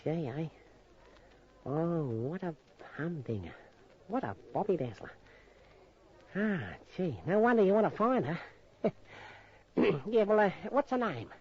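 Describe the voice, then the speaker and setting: A shifty Australian small-time crook, stammering and wheedling his way along. His pitch jumps around animatedly. It sounds like a dull old radio drama recording. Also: sing-song, measured pace, long pauses, darkish tone. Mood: leering, admiring. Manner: hesitant, stammering, chuckling, slangy.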